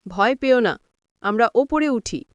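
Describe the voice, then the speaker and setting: A woman's voice: on the high side, lively intonation, measured pace, dry recording, highs cut off. A woman voicing a reassuring, knowledgeable older father-in-law in storybook dialogue. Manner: reassuring, explanatory.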